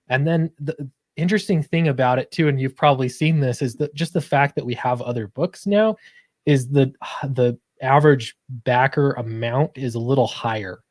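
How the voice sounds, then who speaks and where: Man, medium pitch, casual interview chat, upbeat, hesitant, dry sound. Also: dry recording. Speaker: guest comic book creator.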